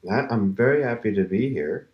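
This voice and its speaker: A man with a very deep voice talks easily as a studio guest. He sounds relaxed and friendly and laughs readily. The room around him is audibly roomy. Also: normal speed, muffled recording. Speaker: studio guest, land consultant. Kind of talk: radio interview.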